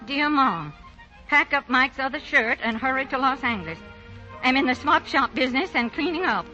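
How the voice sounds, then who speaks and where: A woman's voice: high voice, expressive, moderate pace, pushed and bright. An actress playing a strong, loving, easily exasperated older mother in a 1940s radio drama, plain-spoken and emphatic. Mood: happy, excited. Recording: muffled 1940 radio recording, music behind.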